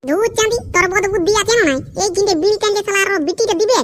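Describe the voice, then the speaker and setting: A man's voice: very high-pitched, rapid-fire, fairly bright tone. A man voicing a brash, crude, boastful young village bachelor in a cartoon comedy, bickering and cursing in a Purulia Bengali accent. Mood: indignant, mocking. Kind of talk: rustic cartoon comedy acting.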